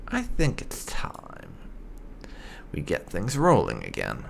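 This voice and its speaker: A man's voice: low voice, wildly swooping pitch, slow pace, gravelly, in a whisper. A man giving casual roleplay commentary over a video game he is hosting. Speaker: gaming streamer, host.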